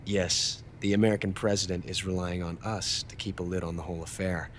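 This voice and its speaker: An actor playing a scheming male double agent in a video game, calmly reporting by phone in a measured, conspiratorial way. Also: low-pitched, slight background noise, lightly acted. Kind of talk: video game cutscene dialogue. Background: game music score.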